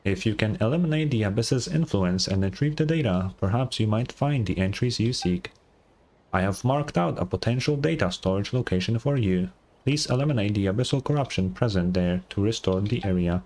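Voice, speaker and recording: A man voicing a huge ancient dragon robot record-keeper from a game, formal, measured and helpful. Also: lowish voice, animated, a little mellow. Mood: polite, matter-of-fact. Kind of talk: reading game dialogue aloud.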